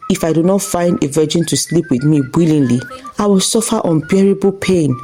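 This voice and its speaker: A woman's voice: on the low side, brisk, mellow, faint music behind, close to an everyday voice. A woman voicing an anguished young cursed prince in a folktale, earnest and confessional.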